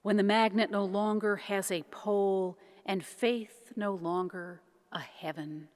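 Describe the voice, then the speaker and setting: Slow, solemn woman reader in long reverb. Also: mid-range voice, fairly even intonation, a little mellow. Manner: deliberate, reverent, measured phrasing. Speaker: reverend reading devotional poetry. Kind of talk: solemn poetry reading.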